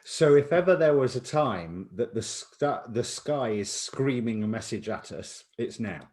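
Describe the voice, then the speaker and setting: Male host, casual and reflective, rambling questions, mid-pitched voice. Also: lively intonation, a little slow, darkish tone. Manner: chatty, reflective, asks long winding questions. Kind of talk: conversational interview.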